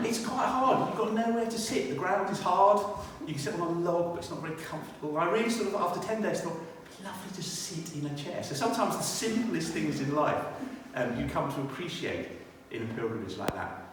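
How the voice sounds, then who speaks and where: A man's voice: fairly high pitch, expressive, a little fast. British man testifying in an echoey church. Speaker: church speaker giving testimony. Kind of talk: personal testimony and scripture reading. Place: inside a church. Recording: echoey church hall, distant, faint noise.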